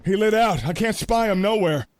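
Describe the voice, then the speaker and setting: An actor playing a blunt, brave cowboy gunslinger in a radio play, with folksy frontier phrasing. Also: male voice, sits high, lively intonation, moderate pace, no room sound, clean studio sound. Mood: alarmed. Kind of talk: radio play dramatic acting.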